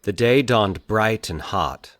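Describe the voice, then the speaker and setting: A man with a fairly low voice narrates fiction in a measured storyteller's manner. The sound is dry, with hardly any room around the voice. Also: lively intonation, fairly bright tone, dry recording.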